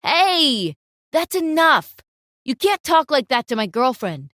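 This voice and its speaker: A woman's voice: high voice, big pitch swings, slow, a bit bright, no echo, clean studio sound, full character voice. A woman voicing a protective young boyfriend who firmly stands up for his girlfriend. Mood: defiant. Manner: firm, defiant.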